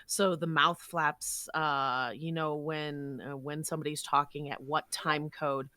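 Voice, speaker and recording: A woman's voice: deep voice, fairly even intonation, unhurried, pushed and bright, no echo. A woman chatting casually about her work as a guest on a podcast. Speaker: guest voice actor.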